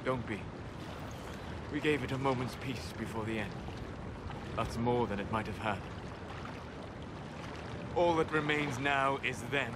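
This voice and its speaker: An actor playing a solemn, grieving elf mage in a video game, measured and grave. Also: male voice, mid-range voice, heavy background noise, close to an everyday voice. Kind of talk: video game cutscene acting.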